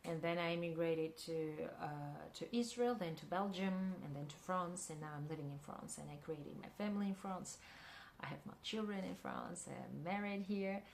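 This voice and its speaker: A woman's voice: lowish voice, gravelly, a little hiss or hum. Thoughtful woman with a Russian accent. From Kyrgyzstan. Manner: thoughtful, searching for words with many pauses. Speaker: filmmaker and actress. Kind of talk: interview answer.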